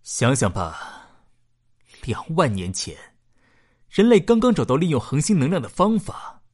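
Casting: male actor as urgent fleet analyst, husky, very animated delivery, radio drama. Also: fairly high pitch, unhurried, exaggerated voice. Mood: insistent. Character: insistent, alarmed male space-fleet data analyst. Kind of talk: Chinese science-fiction radio drama acting.

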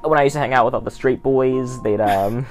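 A man's voice: mid-range voice, a little fast, faint music behind. A teenage male with an American accent, hosting a casual interview podcast with sarcastic asides.

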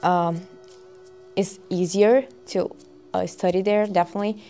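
Young woman, halting speech. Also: medium pitch, steady tone, dark tone, soft background music. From Brazil. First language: Portuguese. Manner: halting, restarts, fillers. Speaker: guest student. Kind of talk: casual interview answers.

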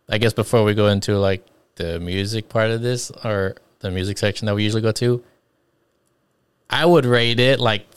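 A man's voice: fairly low pitch, clear, resonant tone, dry recording. A man co-hosting a podcast in casual chat, easygoing and hesitant, his sentences full of fillers and restarts. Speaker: podcast co-host.

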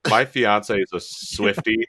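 A man's voice: fairly low pitch, slow pace, bright, forceful tone, slightly rough. A young man co-hosting a podcast, bantering in a joking, laid-back way. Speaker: podcast co-host. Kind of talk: casual chat.

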